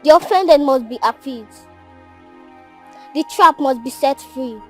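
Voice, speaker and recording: Slow, solemn prophetess, Nigerian accent. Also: female voice, high voice, darkish tone, faint music behind. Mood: solemn, foreboding. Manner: slow, oracular pronouncing. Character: solemn prophetess foretelling tears and blood. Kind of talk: Nollywood film acting.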